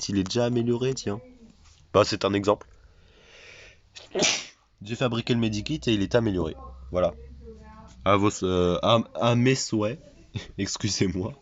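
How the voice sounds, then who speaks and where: A man's voice: fairly low pitch, highs cut off. A man with a cold giving chatty, self-mocking commentary over a survival video game, often repeating his phrases. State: has a cold. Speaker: gaming YouTuber. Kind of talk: casual let's play commentary.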